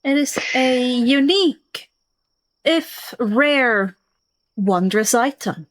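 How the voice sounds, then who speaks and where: A woman narrating a tabletop roleplaying game as host and game master, in a deliberate, drawn-out way. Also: sits high, expressive.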